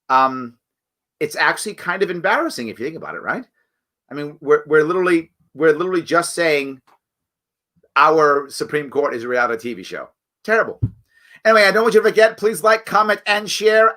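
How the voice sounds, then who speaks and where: A man hosting a live stream, talking casually and reading viewer comments, his voice raspy and a bit hoarse from weeks of campaigning. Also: fairly high pitch. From New York State. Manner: conversational, animated, frequent fillers and restarts. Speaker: show host.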